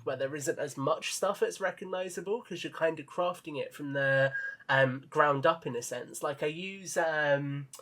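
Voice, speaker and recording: A man's voice: fairly high pitch, fairly bright tone. A man talking casually and in detail as a podcast guest, modest about himself and keen on his creative work. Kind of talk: casual enthusiastic podcast chat.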